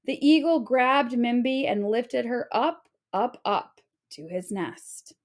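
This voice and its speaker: A woman reads a children's story aloud. Her voice sits fairly high, and she takes her time, narrating in an expressive, deliberate way.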